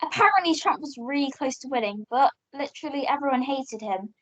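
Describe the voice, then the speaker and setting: A woman's voice: sits high, animated, a little fast. A young woman playing a curious, cheeky daughter in an amateur radio play. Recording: dull lo-fi home recording.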